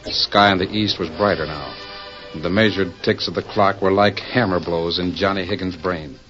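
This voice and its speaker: Man as very deep, dramatic radio storyteller. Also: fairly even intonation, normal speed, music in the background, natural voice. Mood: grave, suspenseful. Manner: solemn, theatrical. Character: grave, dramatic storyteller of a western serial. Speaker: narrator and announcer. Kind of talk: dramatic 1940s radio narration. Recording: muffled 1940s radio recording.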